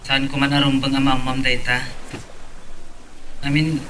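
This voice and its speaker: A male actor plays a young, evasive ex-boyfriend in a radio drama. His voice is bright and sharp at an ordinary male pitch, over faint noise. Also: flat delivery, a little slow, low-quality recording. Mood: defensive. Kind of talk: Ilocano radio drama acting.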